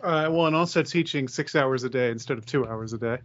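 A man's voice: mid-range voice, a little fast, highs cut off. A man chatting casually as the host of a podcast. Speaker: podcast host and high school teacher. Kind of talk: casual conversation.

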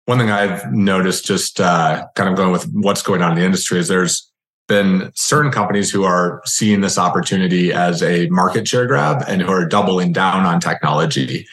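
A man with a fairly low voice hosts a casual interview. He speaks haltingly, reworking his phrases as he goes. The sound is noticeably roomy and echoing. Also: a bit bright. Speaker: podcast host.

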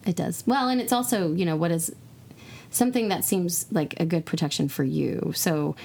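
A hoarse woman co-hosting a podcast, chatting casually and swearing now and then. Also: lowish voice, normal speed, darkish tone. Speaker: podcast co-host. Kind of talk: casual chat. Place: recording in Texas.

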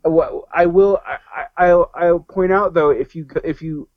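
A man's voice: on the high side, a little slow, dry recording. Dry, muffled man rambling thoughtfully. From American South. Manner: rambling, many fillers and restarts. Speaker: podcast guest. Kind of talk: casual discussion.

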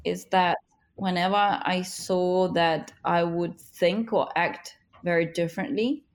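A woman in her thirties, a native speaker of Russian and Ukrainian, gives interview answers with slow, emphatic stresses. She sounds encouraging and earnest, and her voice sits fairly low. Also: steady tone. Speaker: guest, author and business leader.

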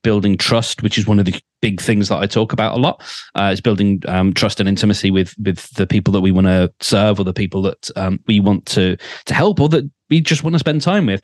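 A man's voice: on the low side, no echo, studio quality. A man, a podcast mentor, explaining thoughtfully with many fillers. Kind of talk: advice in a podcast conversation.

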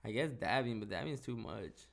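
A young man from hosting a casual podcast chat, profane and relaxed, laughing often. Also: fairly low pitch, normal speed, gravelly. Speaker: podcast host.

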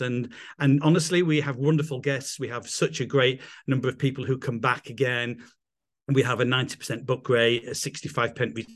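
Middle-aged English man, upbeat interview guest, chatty and full of restarts, calling in remotely. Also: medium pitch. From England. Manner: enthusiastic, rambling with restarts. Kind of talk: casual interview chat.

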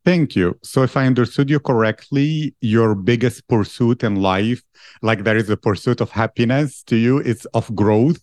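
A man's voice: mid-range voice, moderate pace, darkish tone, no room sound. Host: man, mid-range voice, thoughtful interviewer who sums up and probes, dry close sound. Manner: thoughtful, summarizing and probing. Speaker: podcast host. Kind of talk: reflective interview questions.

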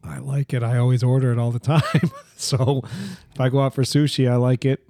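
Mellow, relaxed male podcast co-host. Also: mid-range voice, fairly quick, creaky, no room sound, studio quality. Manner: relaxed, agreeable, rambling a little. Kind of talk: casual beer-tasting chat.

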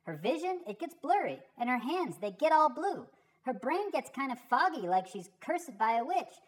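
A woman puts on a tiny know-it-all boy elf from a Christmas storybook. The voice sits very high and bounces around, eager and precise as he explains. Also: sing-song, normal speed. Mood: earnest explaining. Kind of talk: rhyming Christmas storybook reading.

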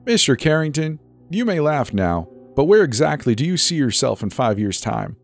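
A man voicing a stern, scornful woman who is a high school principal, scolding and spitting out words in a dramatic story reading. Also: sing-song, normal speed, gravelly, soft background music, heavily acted.

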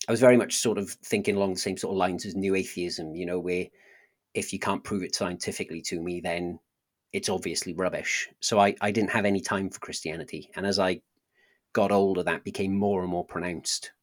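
A man's voice: low voice, fairly even intonation, brisk. A man with a Welsh accent, a podcast guest telling his life story in a hesitant, conversational way with frequent restarts. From South Wales. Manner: hesitant, many restarts and ums. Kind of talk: conversational interview.

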